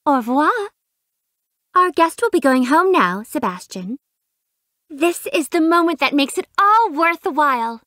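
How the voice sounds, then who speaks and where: A woman's voice: high-pitched, expressive, unhurried, bright, forceful tone, no echo, clean studio sound, exaggerated voice. A woman voicing a haughty, refined rich young lady in a fighting game, with a prim, imperious, theatrical delivery. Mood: haughty, composed. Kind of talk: video game fight voice clips.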